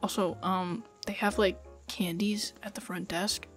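A woman's voice: mid-range voice, steady tone, unhurried, soft background music, close to an everyday voice. A woman acting out one side of a casual girlfriend roleplay as a chatty, teasing young woman, informal and a little bossy. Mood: playful. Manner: informal, teasing, giggly, swearing. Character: playful, chatty, bossy young woman on a dinner date with an old friend.

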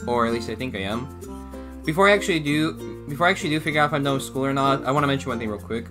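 A man's voice: mid-range voice, rapid-fire, over music. A young man hosting a casual reaction video from a small room, rambling and slipping in jokey asides. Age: young adult.

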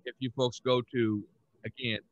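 A man's voice: mid-range voice, little pitch movement, moderate pace, no room sound, muffled recording. An older man casually hosting an online art show as a podcast host, talking over a video call. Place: indoor room on a video call. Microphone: headset.

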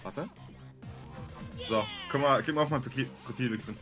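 A man's voice: medium pitch, over music. A man teammate chatting casually during a game, explaining tactics and plans. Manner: quick, lively, explains tactics. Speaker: gaming teammate. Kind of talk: casual gaming chat.

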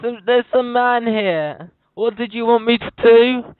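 A man's voice: very high voice, unhurried, dry recording. A man making a prank phone call, acting lost and helpless in a naive, plaintive way. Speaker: prank caller. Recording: tinny phone line with music.

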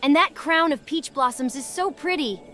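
A woman playing an excitable, delighted young festival spectator in a fantasy game. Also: sits high, moderate pace, faint music behind.

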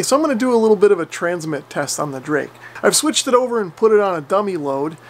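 A man's voice: sits high, slight background noise. A man in his forties giving a casual, informal hands-on explanation as a hobbyist video host. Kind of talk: casual hands-on radio demonstration. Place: home basement radio workshop.

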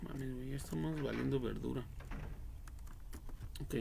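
A man's voice: mid-range voice, unhurried, vocal fry. A young man with a Mexican accent, giving profane, slangy, reactive live commentary on a horror game. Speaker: game streamer. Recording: noisy home livestream microphone.

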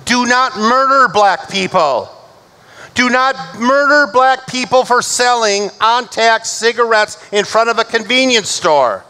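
A middle-aged male pastor delivering a measured, emphatic sermon from a church pulpit. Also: very high-pitched, expressive, pushed and bright, smooth, rough recording. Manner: measured, emphatic preaching, slowing for recited scripture.

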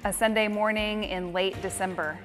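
A woman pastor in her thirties speaking in a measured, articulate way in an interview inside a church. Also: medium pitch, fairly even intonation, fairly bright tone, soft background music. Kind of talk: interview testimony. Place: indoors in a church.

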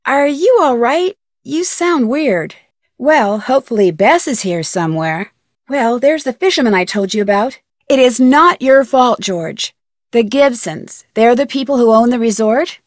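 A woman's voice: fairly high pitch, expressive, measured pace, dry recording, studio quality. An actress playing an inquisitive, polite young woman detective in an adventure game, speaking earnestly. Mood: curious, concerned. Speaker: video game lead character. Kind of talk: scripted adventure game dialogue.

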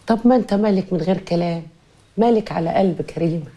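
A woman's voice: on the low side, fast, warm tone, a little hiss or hum, close to an everyday voice. Actress as a coaxing, outspoken Egyptian woman. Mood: affectionate, coaxing. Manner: coaxing, nagging, emphatic. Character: devoted, fussing, outspoken Egyptian woman, flirtatious and dramatic. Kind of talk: TV drama acting.

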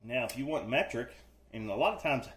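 A man's voice: mid-range voice, animated, a little fast, fairly bright tone. A man hosting a casual how-to video, talking in a folksy, joking, reassuring way while he works on a floor indoors, recorded on the camera mic. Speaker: DIY channel host. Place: indoors, room under renovation.

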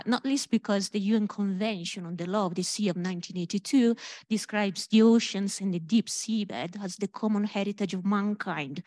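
Woman, italian accent, measured lecture on a stage, dry close sound. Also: medium pitch, mellow, no room sound. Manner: measured, careful, with hums between points. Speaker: panel speaker, legal scholar. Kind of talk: conference presentation. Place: conference stage, large hall.

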